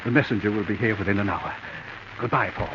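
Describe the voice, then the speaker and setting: Guy playing lovesick rich sap in old radio drama, stammers and hedges, lowish voice, hissy. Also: lightly acted. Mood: sheepish, resigned. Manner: halting, flustered, stammering. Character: hesitant, lovelorn, gullible wealthy male collector. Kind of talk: 1940s radio drama acting. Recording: hissy, muffled vintage radio recording.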